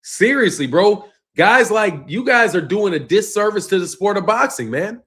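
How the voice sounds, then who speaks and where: A fairly high-pitched man. He leans hard on "bro" and "man," and his voice sinks low and quiet as each phrase trails off. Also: lively intonation, moderate pace. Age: 50s. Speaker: boxing channel host. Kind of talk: casual live-stream rant reading chat.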